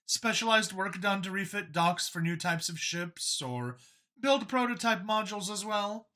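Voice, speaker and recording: A man voicing a female bird-like alien pilot in an audiobook, brisk and matter-of-fact, explaining in clipped phrases. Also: sits high, animated, sharp tone, voice pushed up, heavily acted. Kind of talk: audiobook character voice.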